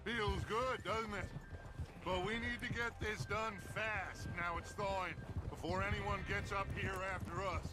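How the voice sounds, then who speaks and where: An actor playing a charismatic outlaw gang leader in a video game, authoritative and oratorical. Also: male voice, high voice, fairly even intonation, a little slow. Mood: commanding. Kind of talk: dramatic video game acting. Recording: loud music and horses behind.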